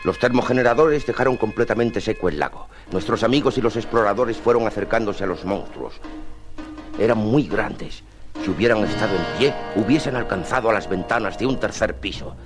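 Low-voiced male radio serial narrator. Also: fairly quick. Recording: vintage 1950s radio, music underneath.

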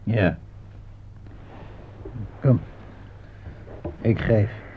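An actor playing an easygoing soldier in a radio play, chummy and matter-of-fact. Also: male voice, fairly low pitch, extremely slow. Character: easygoing male soldier playing cards in a dugout. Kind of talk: radio drama dialogue. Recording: tinny, hissy old radio recording.

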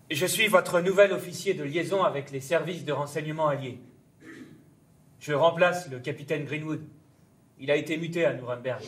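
Actor as reserved young lieutenant, distant mic. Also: male voice, fairly high pitch, a bit bright, lightly acted. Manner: formal, deferential, terse. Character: polite, reserved young male army lieutenant, German-born emigré. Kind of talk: theatrical French stage acting. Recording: stage pickup from a distance.

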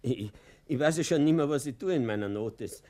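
Radio play: humble old Austrian male petitioner, acted by a man, aggrieved, pleading, repetitive, very animated intonation. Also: medium pitch, close to an everyday voice. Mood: distressed, apologetic. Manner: halting, repetitive, deferential, pleading. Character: aggrieved elderly petitioner, humble, obsessed with injustice.

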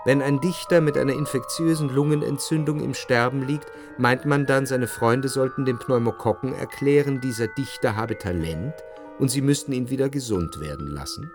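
An actor as a grave, brooding gentleman in a literary radio monologue. The voice is mid-pitched, reflective and deliberately emphatic, with clear music behind it. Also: lightly acted. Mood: brooding, intense. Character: grave, philosophising gentleman brooding on love and suffering. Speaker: narrator.

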